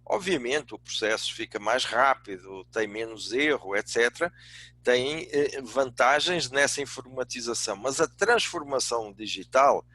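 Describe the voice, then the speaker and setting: An older man with a European Portuguese accent giving a semi-formal prepared talk, courteous, often halting and repeating words. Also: medium pitch, expressive, sharp tone. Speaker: guest speaker, business executive and academic.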